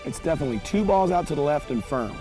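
A man's voice: on the high side, animated, fast, close to an everyday voice. A man playing a cocky, advice-giving middle-aged golf partner in a TV commercial. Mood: confident. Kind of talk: acted TV commercial dialogue. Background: loud music.

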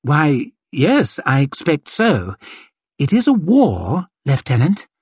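Colonnello arrogante e beffardo, fatto da un uomo, voce un po' roca, acuta e teatrale. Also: male voice, fairly high pitch, wildly swooping pitch, slow, darkish tone, husky, dry recording, pitched above the performer's own voice, full character voice. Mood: mocking. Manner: sneering, theatrical, cutting. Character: arrogant, mocking male cavalry colonel.

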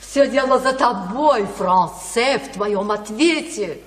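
Devoted mother, high voice, slow emotive verse, radio drama, echoey. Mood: warm, purposeful. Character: devoted, eager country mother. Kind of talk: verse radio play acting. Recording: echoey hall with long reverb.

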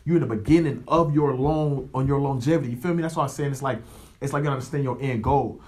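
A man's voice: medium pitch, quick pace, a little mellow. A man in his twenties with an American accent, hosting a podcast in a casual, slangy motivational monologue, often checking in with the listener. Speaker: podcast host.

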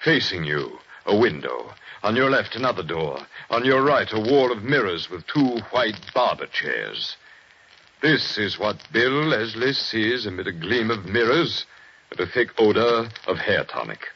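Male radio narrator, low voice, measured, old-time broadcast sound. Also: big pitch swings, husky. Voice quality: measured, descriptive. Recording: dull old radio recording with faint hiss.

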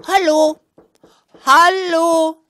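A woman's voice: extremely high pitch, flat delivery, very slow, sharp tone, no echo, clean studio sound, voice pushed up, exaggerated voice. A woman voicing a small thin female dancing mouse, childlike, singsong and pleading. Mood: pleading, eager.

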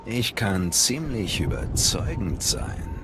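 An actor playing a haughty, guarded Sith sorcerer in a game, speaking formally with cold self-assurance. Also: male voice, on the low side, very animated, takes it slowly, background noise. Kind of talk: dramatic game voice acting.

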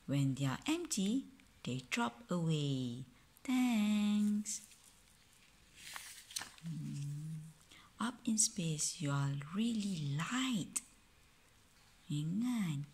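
A man with a Malaysian accent reading a picture book aloud to a child at home, soft and often half-whispered, with playful sound effects, recorded on a phone. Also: a little high, expressive, drawn-out words, pauses often, slightly rough.

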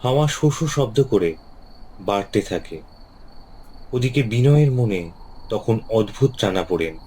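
A man narrating a ghost story in a measured, suspenseful storytelling style. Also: fairly low pitch, lively intonation, mellow, a little hiss or hum.

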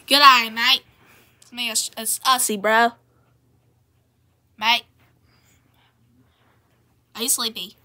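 Woman putting on a brash, bright-voiced Aussie bloke. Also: fairly high pitch, fairly even intonation, slow pace, long pauses, full character voice. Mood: matey. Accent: Australian, put on. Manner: brash, matey, repetitive slangy phrasing. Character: Australia as a cheerful, knife-happy Aussie bloke. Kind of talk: improvised comedy character skit.

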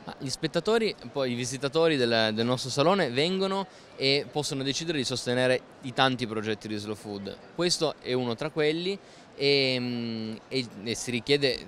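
A young man explains a project in an interview, searching a little for words. His pitch is average, and a handheld mic catches him over clear noise at an indoor fair. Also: a bit bright. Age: young adult. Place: busy indoor trade fair stand. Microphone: handheld microphone held by interviewer.

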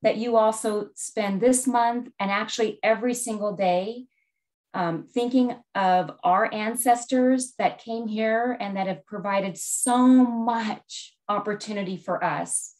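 A woman college president sharing heartfelt, reflective remarks slowly over a video call. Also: medium pitch, a little mellow. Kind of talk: personal heritage remarks.

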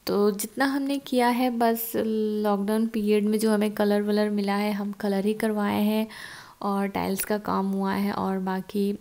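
A young woman in her twenties narrates a casual tour of her empty new house. Her voice has a noticeable gravelly fry at a middling pitch. She talks into a handheld phone as she moves through bare, echoing rooms. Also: flat delivery, measured pace, a little mellow. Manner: chatty, explaining as she walks through rooms. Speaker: vlogger. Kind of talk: casual home tour vlog. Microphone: phone camera, at arm's length then handheld while walking.

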